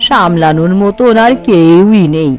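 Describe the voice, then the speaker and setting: A woman's voice: fairly low pitch, measured pace, darkish tone, natural voice. A woman voicing an older, bossy, thrifty mother who scolds and frets over her family in a cartoon. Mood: fretful, caring. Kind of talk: Bengali cartoon voice acting.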